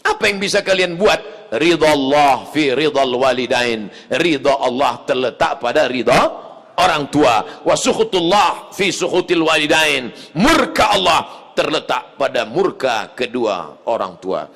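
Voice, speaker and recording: A male preacher giving a mosque sermon, swinging from quiet asides to loud shouted appeals. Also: medium pitch, lively intonation, measured pace, big-room sound.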